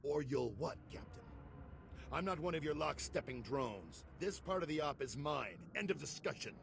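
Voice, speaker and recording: Gravelly, cocky veteran soldier gone rogue. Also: male voice, a little high, animated, moderate pace, a bit bright, a little hiss or hum. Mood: defiant. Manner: cocky, sardonic, blunt. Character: defiant veteran soldier turned traitor, wry, self-assured. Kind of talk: video game voice acting.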